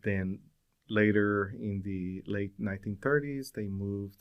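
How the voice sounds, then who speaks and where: A man in his forties from Brazil, speaking English with a Brazilian Portuguese accent, talking as a guest in an interview, thoughtful with many pauses and fillers. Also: fairly low pitch, smooth, highs cut off. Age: 40s. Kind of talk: casual interview conversation.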